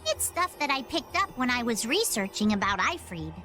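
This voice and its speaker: A woman's voice: a little high, big pitch swings, moderate pace, sharp tone, faint music behind. A woman voicing a plucky young pirate girl, a cocky know-it-all, in an anime video game dub. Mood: matter-of-fact.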